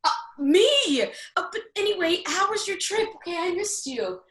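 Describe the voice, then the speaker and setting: A woman acts a brash young fairy-tale heroine in a radio play. The voice is high and sharp, full of cheeky enthusiasm. It carries a clear room echo. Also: big pitch swings, moderate pace, full character voice. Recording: echoey room recording.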